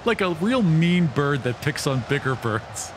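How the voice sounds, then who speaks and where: A man's voice: on the high side, very animated, measured pace, noisy background. A man talking casually as a player in a tabletop role-playing game.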